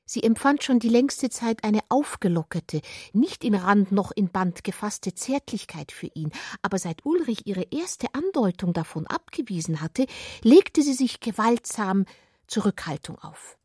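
A woman narrates literary radio drama in an expressive, deliberate way, with a breathy voice that slips toward a whisper. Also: medium pitch, dry recording, clean studio sound. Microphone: close, dry studio microphone.

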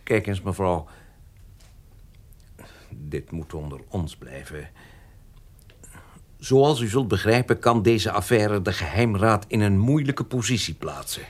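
An actor playing a discreet, obsequious, evasive notary in a radio drama, polite and very formal. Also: male voice, fairly low pitch, lively intonation, slow pace, long pauses. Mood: confidential. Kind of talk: Dutch radio drama acting.

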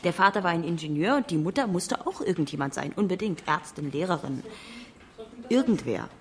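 A woman reading a translated interview as an expressive documentary voice-over. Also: lowish voice, fairly quick.

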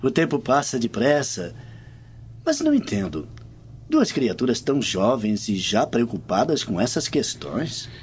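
An actor with a Brazilian accent playing a chatty, incredulous Roman friend in a theatrical radio drama. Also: male voice, medium pitch, measured pace. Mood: curious, surprised. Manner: theatrical, formal, expressive. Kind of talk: dubbed radio drama acting. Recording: dull lo-fi, noisy ambience.

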